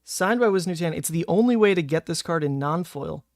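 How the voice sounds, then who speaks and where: A man with a hoarse, partly lost voice, chatting casually with viewers as a card game streamer. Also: fairly high pitch, animated, brisk, no room sound. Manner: rambling and chatty, frequent "uh" and "like". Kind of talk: casual livestream chat.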